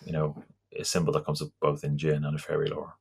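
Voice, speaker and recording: A guest talking about folklore in a casual conversation, in a halting, thoughtful way with many restarts. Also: a bass voice, monotone, creaky, breathy edge.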